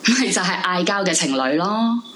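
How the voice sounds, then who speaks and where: A woman's voice: lowish voice, animated, normal speed, a bit bright, slight background noise. An actress playing an anguished, sleepless young woman left by her boyfriend, emotional and pleading, in a Cantonese radio drama. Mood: resentful, resigned. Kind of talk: Cantonese live radio drama acting with narrated monologue. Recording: music behind the voice. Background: background music.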